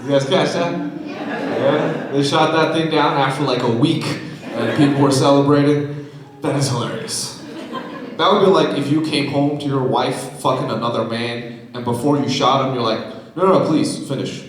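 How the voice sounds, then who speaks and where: A man from China doing a stand-up comedy set on a festival stage, with deadpan punchlines and teasing of the crowd. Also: mid-range voice, normal speed. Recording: echoey theater, loud audience laughter.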